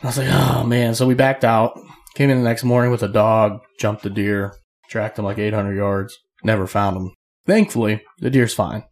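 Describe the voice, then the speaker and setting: A man with a hoarse, fairly low voice tells a long story in loose casual chat. He rambles along and breaks into quick laughs. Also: fairly even intonation. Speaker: podcast co-host. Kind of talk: casual chat among friends.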